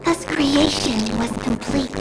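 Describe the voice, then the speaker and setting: An actress playing a lofty, poetic young storyteller of myths in a video game, expressive and theatrical in delivery. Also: female voice, sits high, slow, gravelly, very noisy, exaggerated voice. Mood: reverent, lyrical. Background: prominent music.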